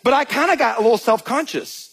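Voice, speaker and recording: A man with a Georgia drawl, preaching with a high-set, slightly husky voice. He tells his stories in an animated, joking way and calls the room "y'all." He sounds like a pastor in his thirties. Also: fast talker. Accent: Southern US (Georgia). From Georgia, US South. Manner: animated, jokey storytelling, addresses crowd as y'all. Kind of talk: sermon with storytelling.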